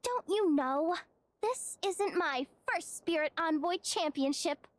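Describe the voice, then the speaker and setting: A woman's voice: very high-pitched, animated, slow pace, heavily acted. A woman voicing a small, proud young champion in a video game, self-important but easily worried. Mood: proud, boastful.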